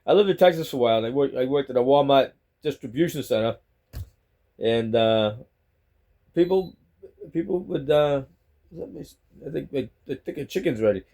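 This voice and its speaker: A man's voice: medium pitch, lively intonation, moderate pace, long pauses, mellow. A middle-aged man with a Boston accent, rambling casually to a phone on a selfie stick at home. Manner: chatty, rambling, repeats himself. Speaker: vlogger. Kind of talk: rambling casual talk to camera.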